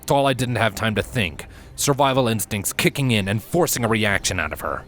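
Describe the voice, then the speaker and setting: A man's voice: on the low side, expressive, brisk, a bit bright. A man reading a scripted fantasy story aloud as its narrator. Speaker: fan fiction reader, narrator. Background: background music.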